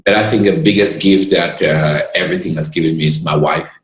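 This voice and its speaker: A man with a very low voice speaks as an interview guest. He talks earnestly and at length, pausing now and then to find his words, and his voice carries around a roomy, echoing space. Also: fairly even intonation. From Cameroon. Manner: expansive and earnest, pauses to gather his words. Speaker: guest, lawyer. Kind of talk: reflective storytelling in conversation.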